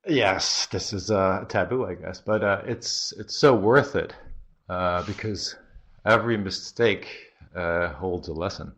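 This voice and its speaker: A man from Belgium with a slightly American-sounding accent, a marketing guest in an interview who thinks aloud with many pauses. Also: deep voice, fairly bright tone, slight background noise. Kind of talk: podcast interview. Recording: dull lo-fi audio.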